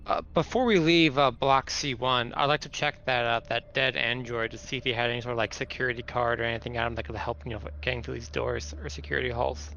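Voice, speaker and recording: A man's voice: medium pitch, steady tone, quick pace, creaky, few pauses, sharp tone, slight background noise. A man playing a tabletop roleplaying game, speaking casually. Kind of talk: casual chat. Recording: slightly dull, lo-fi mic.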